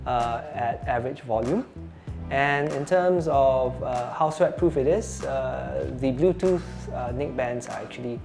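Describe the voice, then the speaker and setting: A man presents products in an informal, explanatory way, pausing and feeling for his words. He sounds like a company spokesperson showing visitors around, with faint music behind him. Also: mid-range voice, darkish tone. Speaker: company spokesperson, chief storyteller. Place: showroom office.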